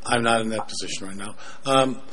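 A man moderating a formal panel discussion in a polite, measured way. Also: lowish voice, fairly bright tone.

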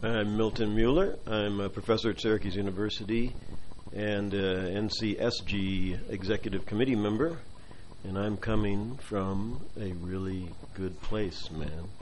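A man's voice: on the low side, fairly even intonation, moderate pace, darkish tone, a little hiss or hum, low-quality recording. A man who is a professor introducing himself at a meeting in a laid-back, joking way.

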